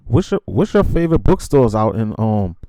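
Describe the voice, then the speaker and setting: Chill new guy interviewing, mellow voice but super animated, slangy and hyping the guest up. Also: fairly low pitch, moderate pace, dry recording. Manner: enthusiastic, affirming, slangy. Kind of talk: casual chat.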